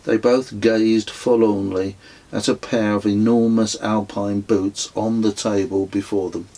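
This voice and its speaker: Audiobook author-narrator, male, middle-aged, for travel memoir. Very unhurried delivery, lowish pitch, rough-edged husky tone. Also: steady tone, warm tone, poor audio. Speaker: author reading own book.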